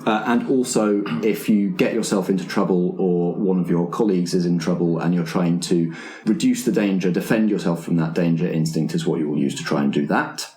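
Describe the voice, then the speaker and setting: A man acting as game master, explaining tabletop game rules to his players in a halting, explanatory way. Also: sits low, dark tone. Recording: roomy, echoing room sound.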